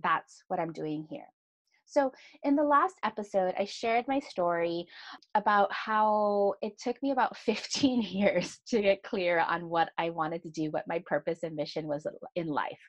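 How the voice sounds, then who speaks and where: A woman's voice: on the low side, a little slow. A woman in her thirties hosting a solo podcast, speaking earnestly and confessionally, often checking in with "right?". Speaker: podcast host and astrologer.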